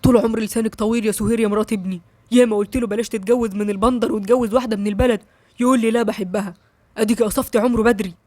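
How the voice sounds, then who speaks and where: A woman's voice: on the high side, steady tone, rapid-fire, darkish tone, no room sound, natural voice. A woman voicing a domineering old village grandfather who lays down the law, speaking in a commanding, scolding manner. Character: stern old male village patriarch, domineering.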